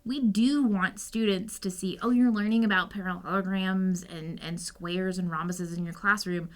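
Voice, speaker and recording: A woman's voice: medium pitch, steady tone, normal speed. A woman professor giving conversational answers in an interview. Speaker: math professor being interviewed.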